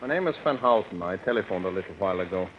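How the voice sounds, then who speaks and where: An actor playing a suave, intrusive stranger with a formal, faintly sardonic manner, in 1940s radio suspense style. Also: male voice, low voice, lively intonation, very fast. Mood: wry, unruffled. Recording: muffled, noisy vintage radio recording.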